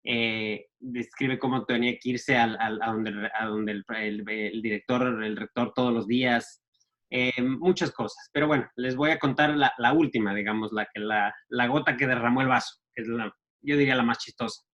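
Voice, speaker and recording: A man, telling stories of his youth in a casual, halting way full of restarts and fillers. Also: mid-range voice, steady tone, fairly bright tone, lo-fi sound. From Ecuador. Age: 40s. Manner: halting, many restarts and fillers, laughs at himself. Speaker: guest entrepreneur. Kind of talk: casual storytelling.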